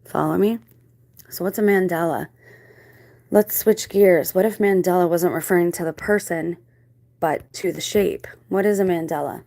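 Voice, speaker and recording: A woman's voice: fairly low pitch, normal speed, creaky, warm tone, no room sound, studio quality. A woman hosting an explanatory monologue, posing rhetorical questions and checking in with the listener as she goes. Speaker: video host. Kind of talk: explanatory monologue on spiritual theories.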